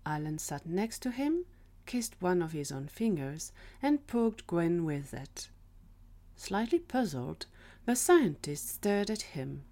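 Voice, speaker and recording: A woman's voice: lowish voice, sing-song, slow, warm tone. A woman reading scripted third-person narration for an audio drama.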